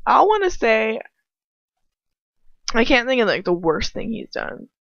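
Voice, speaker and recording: A woman's voice: fairly high pitch, sing-song, unhurried, vocal fry, fairly bright tone, no room sound. A woman commenting casually on a story in a rambling, blunt way, sarcastic and exasperated, swearing freely. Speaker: amateur fan fiction reader and commentator. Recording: dry, dull lo-fi home recording.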